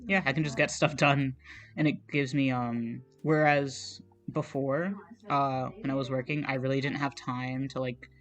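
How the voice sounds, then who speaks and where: A young man streaming as he draws, chatting casually in a meandering, soft, self-deprecating way, with plenty of fillers and trailing thoughts. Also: medium pitch, level tone, normal speed, faint music behind. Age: young adult. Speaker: art streamer. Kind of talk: casual chat while drawing on a livestream.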